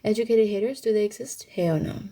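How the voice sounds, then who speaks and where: A woman's voice: medium pitch, brisk, mellow. A young woman from Thailand casually chatting on a livestream and answering viewers, with informal, youthful slang.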